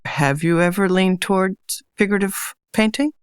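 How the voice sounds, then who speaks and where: A woman's voice: mid-range voice, moderate pace, clean tone, dry recording, clean studio sound. A woman hosting an interview podcast, speaking in a prepared, orderly way. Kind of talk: scripted introduction and interview questions.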